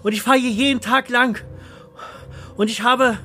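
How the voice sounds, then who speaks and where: A man's voice: very high voice, steady tone, unhurried, bright, forceful tone, breathy edge, voice pushed up, full character voice. A man playing a frightened, ordinary accountant in an audio thriller, stammering and repeating himself as he pleads. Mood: panicked. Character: frightened, dull, ordinary male accountant pleading his innocence. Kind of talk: radio thriller acting.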